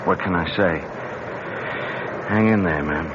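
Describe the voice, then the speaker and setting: A man's voice: low voice, a little slow. An actor playing a hearty, loyal young male professor in a radio drama. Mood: concerned. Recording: dull, noisy old radio.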